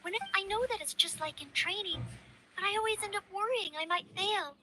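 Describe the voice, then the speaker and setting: A woman's voice: very high voice, fairly quick, pushed and bright, low-quality recording. A woman voicing an earnest, eager young girl adventurer in a video game, polite and determined.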